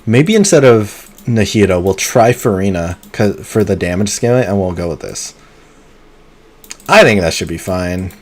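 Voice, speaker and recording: A man streaming a video game live, chatting casually and muttering to himself between bursts of excitement. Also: on the low side, moderate pace. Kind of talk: live gameplay commentary.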